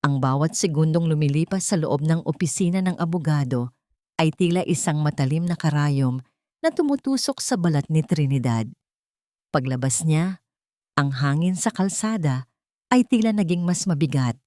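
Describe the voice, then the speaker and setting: A woman's voice: sits low, lively intonation, moderate pace, dark tone, dry recording, clean studio sound. A woman with a Filipino accent reading a scripted dramatic story as an audiobook narrator.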